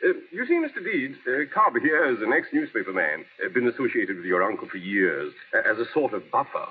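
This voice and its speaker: An actor playing a smooth, condescending big-city lawyer with formal, hesitant politeness in an old-time radio drama. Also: male voice, mid-range voice, very animated, brisk, close to an everyday voice. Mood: smooth, persuasive. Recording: muffled, noisy vintage radio broadcast.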